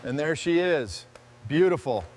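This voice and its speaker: A man's voice: a little high, lively intonation, measured pace. A man hosting a restoration video, giving hands-on workshop commentary.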